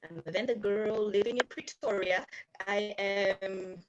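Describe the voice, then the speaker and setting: A woman's voice: medium pitch, fairly even intonation, unhurried. A woman in her twenties, laughing and self-mocking on a video call with a breaking-up connection. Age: 20s. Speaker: guest. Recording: dull lo-fi video-call audio.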